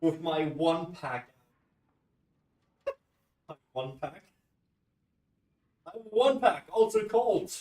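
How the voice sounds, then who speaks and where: A man's voice: fairly high pitch, very animated, drawn-out words, long pauses, a little mellow. A man who is a native German speaker, streaming a video game with casual, rambling, self-deprecating commentary.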